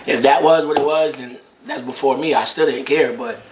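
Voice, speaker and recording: A man's voice: mid-range voice, steady tone, normal speed, a crowd in the background. An echoey room carries the voice of a man. He talks casually and slangily in a laid-back way, often circling back to repeat a point. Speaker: co-host, boyfriend of the host. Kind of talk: casual chat, slangy interjections.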